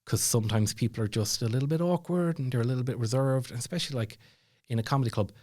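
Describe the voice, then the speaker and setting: A man's voice: mid-range voice, quick pace, darkish tone, dry recording, clean studio sound. An Irish man chatting casually as a podcast guest, telling stories in a rambling, self-deprecating way. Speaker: podcast guest, former comedy club MC. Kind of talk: casual storytelling chat.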